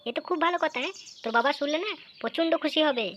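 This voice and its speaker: A woman's voice: high voice, very fast, a bit bright. A woman playing a fussing, inquisitive village mother and housewife in a comic cartoon. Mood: delighted. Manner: chatty, inquisitive, exclaiming. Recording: dull lo-fi audio with some noise.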